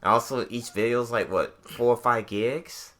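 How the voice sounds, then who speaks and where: A man's voice: medium pitch, normal speed, sharp tone. A man co-hosting a casual gaming podcast, speaking in short, blunt replies. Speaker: podcast co-host. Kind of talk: casual video game chat.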